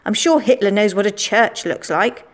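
A woman's voice: medium pitch, normal speed, close to an everyday voice. A woman voicing a sensible schoolgirl who brushes off nonsense. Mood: dismissive.

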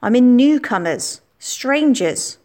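Woman doing a sharp-tongued schoolgirl. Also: on the high side, expressive, slow pace, pitched above the performer's own voice. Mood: scornful.

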